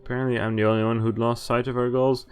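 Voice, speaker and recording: A man's voice: mid-range voice, flat delivery, fast talker, clean tone, faint music behind. A man streaming a visual novel, reading its narration aloud and breaking off for wry comments, swearing and laughing.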